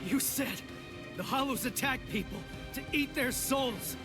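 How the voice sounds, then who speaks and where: A man's voice: extremely high pitch, unhurried. A man voicing a hot-headed, defiant teenage boy in an anime game dub, blunt, intense and emotional. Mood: shaken, disbelieving. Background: background music.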